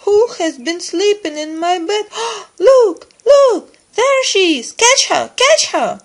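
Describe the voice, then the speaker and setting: A woman's voice: very high voice, animated, takes it slowly, voice pushed up, exaggerated voice. A woman voicing a small upset bear cub in a children's storybook, crying out in indignant wails. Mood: crying, alarmed.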